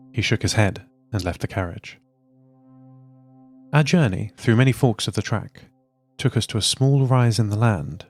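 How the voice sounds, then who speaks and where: A man with a low, gravelly voice tells the story in a calm, measured way, reading a scripted narration. Faint music runs softly beneath his words. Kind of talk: scripted narration of an audio drama.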